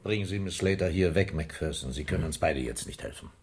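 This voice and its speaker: An actor playing a gruff, sarcastic, domineering male police inspector in a German radio crime drama, speaking curtly. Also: low-pitched, fast talker, darkish tone, close to an everyday voice. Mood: brusque. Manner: curt, commanding, sardonic.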